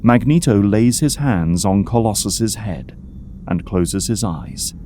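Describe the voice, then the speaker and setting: Dark-voiced low male narrator. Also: animated, slow pace, slight background noise. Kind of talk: scripted audio drama narration.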